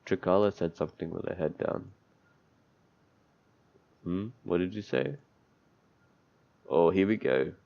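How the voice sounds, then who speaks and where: Deep croaky dude reading a visual novel out loud on a lo-fi mic, trips on words, makes side comments. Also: male voice, unhurried, pauses often. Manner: stumbles over words and breaks off into offhand asides. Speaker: let's player reading a visual novel aloud. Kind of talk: gameplay commentary, reading game text aloud. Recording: dull lo-fi mic, background music. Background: game music.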